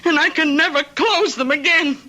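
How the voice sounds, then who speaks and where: An actress playing an earnest young schoolteacher in an old radio drama, with a hesitant, emotional delivery. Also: female voice, high-pitched, moderate pace, bright, forceful tone. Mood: sorrowful resolve. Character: sheltered young schoolteacher, gangster's daughter, earnest and distraught.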